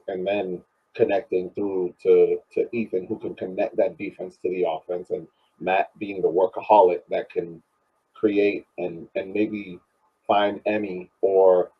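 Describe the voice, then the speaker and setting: A man's voice: low-pitched, husky. A man chatting casually about sport, piecing his thoughts together with repeats, on slightly dull audio. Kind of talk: casual sports analysis chat.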